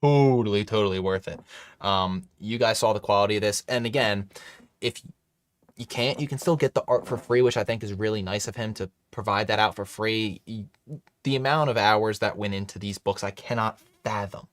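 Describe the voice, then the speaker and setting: A man's voice: fairly low pitch, normal speed. A man hosting a casual live stream, chatting loosely with many fillers and restarts while reading chat. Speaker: livestream host.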